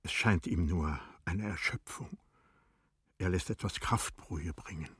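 A man narrating a tragic classic story in a radio play, in a solemn, measured, dramatic manner. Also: low voice, fairly bright tone, breathy edge, dry recording, clean studio sound, full character voice. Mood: urgent.

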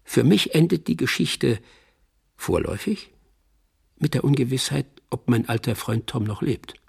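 An actor playing a wry old friend who narrates an epilogue in a radio play, deliberate, with a husky, gravelly voice. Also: male voice, mid-range voice, expressive, a little mellow, exaggerated voice. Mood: wry, reflective.

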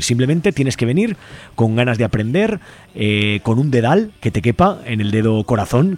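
A man's voice: lowish voice, darkish tone. A man with a Spain Spanish accent hosts an informal community radio show, talking conversationally and checking in with listeners. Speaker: radio host.

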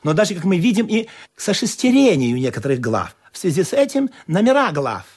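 A man narrating a comic radio play in an expressive, playfully dramatic storytelling manner. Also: high voice, a little fast, husky.

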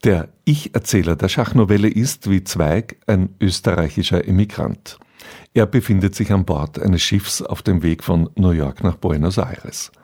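A man's voice: deep voice, moderate pace, a little mellow. A man presenting a scripted radio introduction in a sober, factual manner.

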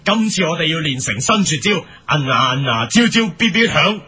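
A man's voice: fairly high pitch, big pitch swings, moderate pace, pushed and bright, slightly rough, full character voice. A man playing a bossy, earnest superhero team leader in a comic radio drama, scolding and commanding melodramatically. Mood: stern, scolding. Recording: noisy amateur recording.

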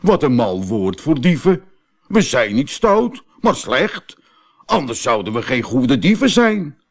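Man as a boastful, soft-hearted storybook thief. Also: medium pitch, big pitch swings, slow, full character voice. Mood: offended. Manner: mock-menacing, naive. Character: boastful but soft-hearted Italian thief in a fairy tale. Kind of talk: storybook character voice.

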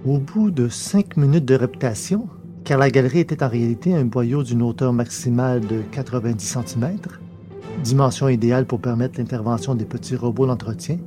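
Guy narrating a sci-fi story, measured delivery, middle pitch, bit of music under it. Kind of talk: scripted narration of a science fiction story.

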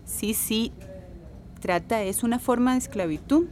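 A serious, well-informed adviser played by an actress in a radio serial. The voice is fairly high and explains things in a didactic way, with faint noise behind it. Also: female voice, a little slow, a little mellow, lightly acted. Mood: grave, explaining. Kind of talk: radio drama acting, explanatory.

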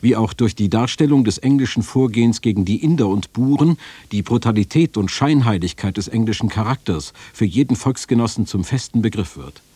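Low dark male voice, bit husky, reading official stuff formally, measured. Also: expressive, no echo. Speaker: quotation reader in a radio feature. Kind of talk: formal reading of documents and quotations.

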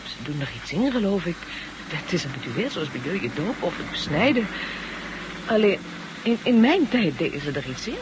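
An actress playing a calm, evasive doctor from a far past age in a radio drama. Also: female voice, on the low side, a little fast, background noise. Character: doctor from the distant past, adult woman, calm.

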